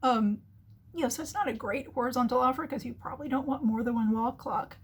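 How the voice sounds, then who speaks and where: A woman's voice: a little high, fast, breathy edge. A woman giving casual, chatty explanatory business commentary as the host, acting out little imagined remarks as she goes. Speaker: host of a marketing commentary show.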